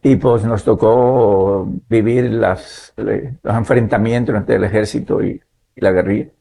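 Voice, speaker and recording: Pastor guest in his fifties, from Nicaragua; gravelly, measured storyteller. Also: lowish voice, fairly even intonation, dark tone. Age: 50s. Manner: measured, adds "¿verdad?" tags. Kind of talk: relaxed testimony in conversation.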